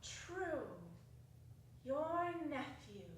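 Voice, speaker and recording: A woman playing a gentle, solemn guiding Christmas ghost in a theatrical dramatic reading. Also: high voice, lively intonation, drawn-out words, slight background noise, heavily acted. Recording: very echoey hall, distant mic.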